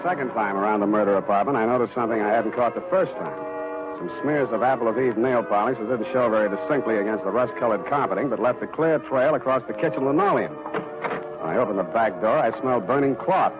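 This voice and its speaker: An actor playing a hard-boiled, wisecracking private detective in terse, deadpan 1940s radio style. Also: male voice, medium pitch, quick pace, natural voice. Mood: deadpan narration. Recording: dull 1940s radio recording, background music.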